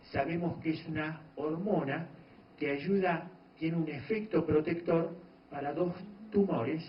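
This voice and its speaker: A man lectures an audience in a steady, explanatory way, often pausing mid-phrase. His voice sits a little high for a man. The recording is dull and lo-fi, with a roomy echo around him. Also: a little hiss or hum. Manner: measured, explanatory, frequent pauses and restarts. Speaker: doctor giving a talk on meditation. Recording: echoey room, dull lo-fi sound.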